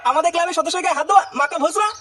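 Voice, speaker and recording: A man's voice: very high-pitched, rapid-fire, sharp tone. A man voicing a foul-mouthed, hot-headed village club member in a comedy cartoon, crude and agitated. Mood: agitated, indignant. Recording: noisy, distant-sounding cartoon dialogue track.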